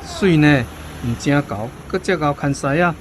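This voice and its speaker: A man's voice: on the high side, normal speed, slight background noise, natural voice. A Taiwanese man playing a teasing, jovial cinema manager in a radio drama.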